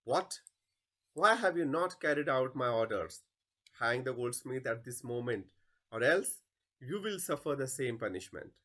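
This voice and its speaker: A man's voice: mid-range voice, lively intonation, unhurried, bright, forceful tone. A man playing an imperious, impatient king in a play reading, pompous and commanding. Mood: annoyed.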